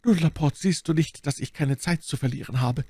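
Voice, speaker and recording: Man voicing a dying, impassioned duke, pitched up. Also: a little fast, warm tone, husky. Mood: urgent, pleading. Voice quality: faint, strained voice. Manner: exclamatory, pleading, impatient. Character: dying, wounded English duke, passionate and imperious. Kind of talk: dramatic audiobook character reading.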